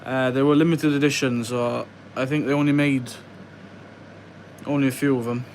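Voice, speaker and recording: A man's voice: mid-range voice, fairly even intonation, normal speed, darkish tone, a little hiss or hum. A young man from West Wales chatting casually on a live stream, reading out viewer questions and muttering to himself as he works at a bench. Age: young adult. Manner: chatty, reads viewer questions aloud and mutters while working. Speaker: livestreamer building a model farm. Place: indoor workbench.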